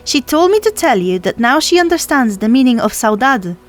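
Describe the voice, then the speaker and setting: Polite seagull messenger, high voice by a woman. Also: big pitch swings, a little fast, soft background music. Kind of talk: storybook character voice.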